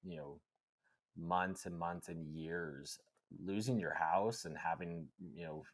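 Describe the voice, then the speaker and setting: Deep-voiced man, relaxed and reflective. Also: takes it slowly. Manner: relaxed, reflective, fills with "like" and "right?". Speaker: podcast co-host. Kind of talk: casual podcast conversation.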